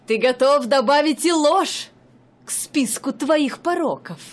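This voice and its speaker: Brief: actress as an outraged jealous wife, high voice, slow biting scolding, radio play. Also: female voice, animated, a little hiss or hum. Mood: furious. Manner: indignant, scolding, theatrical outbursts. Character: furious jealous wife accusing her husband.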